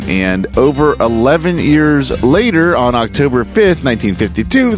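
A man hosting a podcast, reading a scripted introduction. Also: mid-range voice. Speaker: podcast host. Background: music.

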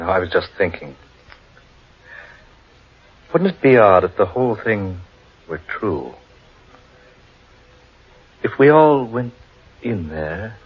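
A man playing a dreamy, cautious, brooding gentleman in 1940s radio horror, speaking in a hesitant, musing way. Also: sits low, slightly rough, exaggerated voice. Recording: muffled old radio recording, music behind.

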